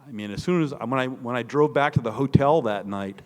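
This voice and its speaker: A man's voice: medium pitch, brisk. An older man with an American Midwestern accent, a biographer telling stories conversationally as he answers an audience question, in an emphatic, digressive way. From northeastern Indiana, United States. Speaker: author and biographer giving a talk. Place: large indoor hall, public talk. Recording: echoey hall with long reverb.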